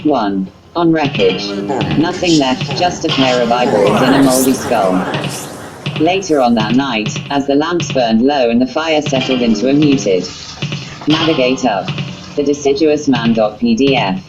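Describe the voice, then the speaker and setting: A woman reading a scripted story as narrator in a flat, literal, synthetic manner. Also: rumbling low, a little slow, poor audio. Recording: music under the voice. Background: guitar music.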